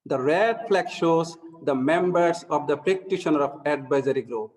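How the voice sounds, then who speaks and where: A man with a Bangladeshi accent and a somewhat high voice gives a formal, earnest presentation on a video call. A long reverb trails after his words. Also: moderate pace, a little mellow.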